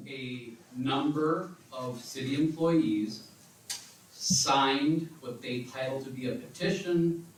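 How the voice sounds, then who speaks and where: A man's voice: mid-range voice, a little hiss or hum. A man giving a careful, formal account at a city council meeting, speaking in hedged, lawyerly phrases. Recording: echoey council chamber, distant mic.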